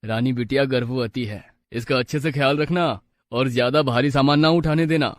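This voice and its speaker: A man playing a calm, kindly village doctor with a measured, advisory manner. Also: medium pitch, dry recording, lightly acted. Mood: congratulatory, advising.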